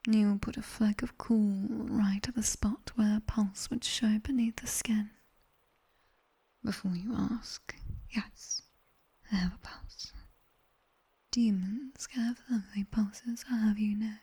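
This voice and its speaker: A British woman playing a sharp-tongued, teasing demoness tutor in a soft, whispered ASMR roleplay. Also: medium pitch, fairly even intonation, breathy edge. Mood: teasing, instructing. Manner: slow, hushed, teasing and bossy.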